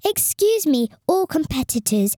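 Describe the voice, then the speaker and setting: Woman playing a storybook event announcer, formal, deliberate, slow-paced, swooping intonation. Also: high-pitched, very animated, mellow, no room sound, studio quality. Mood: official, polite. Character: formal competition announcer and letter voice. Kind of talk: storybook announcement, read aloud.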